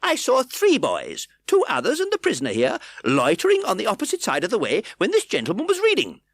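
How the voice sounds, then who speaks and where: A man doing an elderly bookstall keeper who has rushed in out of breath, his voice pitched very high and strained as he demands to speak. The tone is bright and crisp, and the recording is dry and close. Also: huge pitch swings, no room sound, exaggerated voice. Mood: urgent.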